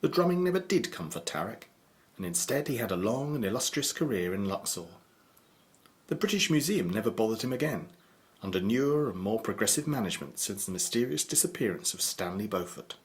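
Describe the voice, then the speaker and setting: A grave storyteller narrates a horror audio drama. His voice is fairly low and highly expressive, yet composed and measured.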